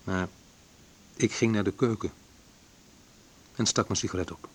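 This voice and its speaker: A man's voice: on the low side, a little slow, slightly rough. An actor playing a wounded man who narrates his youth in a radio play, in short colloquial phrases. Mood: subdued, sorrowful. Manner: colloquial, sardonic, clipped short sentences. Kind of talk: dramatised first-person narration, radio play.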